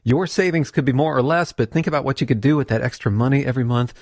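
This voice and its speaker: Man, radio ad announcer, upbeat persuasive read, mid-pitched voice, dry sound. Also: quick pace, darkish tone, dry recording.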